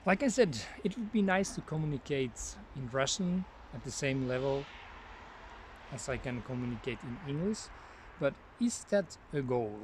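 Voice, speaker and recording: A man's voice: mid-range voice, expressive, normal speed, a little hiss or hum. Finnish-accented man rambling in a forest. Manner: rambling, thinks aloud, easily sidetracked. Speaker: language learner vlogger. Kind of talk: casual talk to camera. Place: outdoors in a forest.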